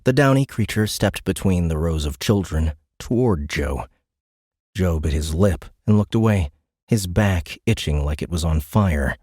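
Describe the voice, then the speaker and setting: A man's voice: sits low, animated, measured pace, a little mellow, dry recording, studio quality. A man narrating a science fiction audiobook in a dramatic, scripted storytelling style.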